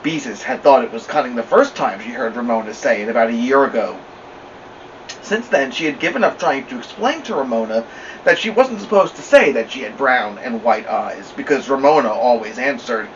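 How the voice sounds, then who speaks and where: Animated man reading a storybook aloud. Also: medium pitch, normal speed. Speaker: storybook reader. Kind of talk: expressive read-aloud narration for children. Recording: distant mic, slightly dull, faint noise.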